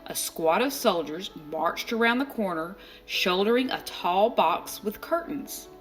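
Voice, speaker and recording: Female storybook reader, unhurried and expressive, medium pitch, dramatic pauses, faint music underneath. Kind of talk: expressive children's read-aloud narration.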